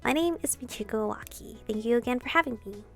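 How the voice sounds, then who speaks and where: A woman doing casual, expressive gameplay commentary, reading visual novel dialogue aloud and adding sweary asides. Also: on the high side, quick pace, creaky. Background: game music.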